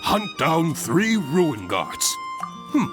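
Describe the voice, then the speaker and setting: A man's voice: fairly high pitch, very animated, extremely slow, music in the background, full character voice. A man voicing a blustery, grumbling guild branch master in a video game, with a theatrical, exasperated delivery. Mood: musing, theatrical. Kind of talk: scripted video game character acting.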